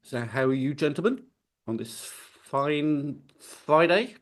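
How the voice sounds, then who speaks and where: A man hosting an industry news podcast, leading the discussion in a measured way. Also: on the high side, lively intonation. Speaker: podcast host.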